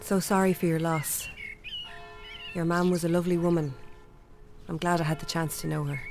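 Creaky-voiced, polite Irish woman played by an actress. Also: on the low side, steady tone, moderate pace, over music, close to an everyday voice. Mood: sympathetic, courteous. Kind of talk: naturalistic radio drama acting.

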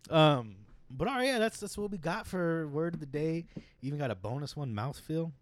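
A man's voice: on the high side, expressive, normal speed, no echo. A young man co-hosting a podcast, joking around in loose, casual banter, swearing now and then and laughing often. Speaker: podcast co-host.

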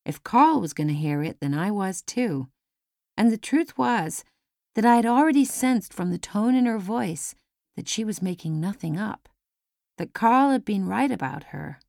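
A woman's voice: mid-range voice, expressive, moderate pace, gravelly, darkish tone, dry recording, studio quality. A woman narrating a story as an audiobook reader, in a scripted storytelling style.

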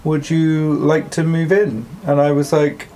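A man's voice: a little high, level tone, unhurried, dark tone. A man in his twenties with a posh English accent, co-hosting a podcast in casual, chatty talk with some hesitant fillers. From England. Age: 20s. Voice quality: posh, plummy voice. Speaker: podcast co-host. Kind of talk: casual chat.

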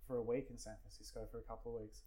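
A man's voice: mid-range voice, level tone, racing, crowd noise, low-quality recording. A man in his forties with an Australian accent, telling stories in casual chat, rambling with many fillers and restarts and swearing freely. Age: 40s. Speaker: guest. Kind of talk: casual storytelling.

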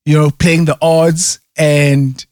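A man's voice: on the high side, flat delivery, slow pace, a little mellow, clear, resonant tone, dry recording, studio quality. A man in casual chat who rambles, restarts often and keeps checking in with "right?". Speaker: conversation partner.

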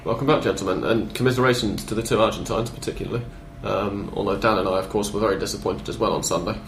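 A man hosting a casual football podcast, with a sore throat and a blocked-up cold, talking in a measured way. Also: on the low side, steady tone, reverberant, slight background noise. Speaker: podcast host. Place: living room.

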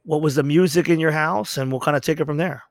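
A middle-aged man hosts a podcast interview in a slightly high voice. He talks earnestly and informally, leaning on his points with emphasis. The sound is dry and free of room echo. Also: quick pace, vocal fry. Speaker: podcast host. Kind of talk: conversational interview.

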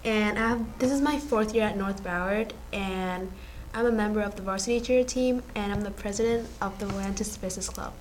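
A teenage student from Vietnam answering interview questions casually, quick and loosely phrased. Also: female voice, mid-range voice, a little hiss or hum, rough recording. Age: teens. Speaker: student guest.

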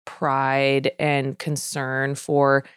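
Casting: chatty American-raised woman guest, very low smooth voice, dry close sound. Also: monotone, no echo. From United States. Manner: chatty, quick asides with frequent "like". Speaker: podcast guest, poet. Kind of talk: casual conversational chat.

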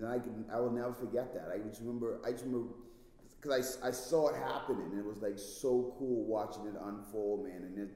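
A man's voice: fairly low pitch, dark tone. A man from the Bahamas with a Bahamian accent, hosting a casual interview and talking enthusiastically. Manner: enthusiastic, drops in "man" often. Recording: very echoey room with long reverb.

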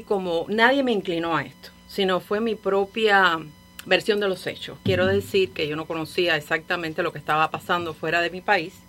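A woman with a Cuban Spanish accent speaks as a guest on a radio talk show. Her voice is on the low side, and she thinks aloud in long, wandering sentences with small restarts and soft laughs. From Cuba. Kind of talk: casual radio conversation.

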